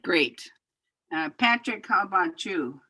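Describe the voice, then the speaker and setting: Slow, bright-voiced woman running a video call. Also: lowish voice, animated, breathy edge, dry recording, poor audio. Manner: calls on participants by name, upbeat prompts. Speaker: workshop facilitator. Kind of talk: online meeting facilitation.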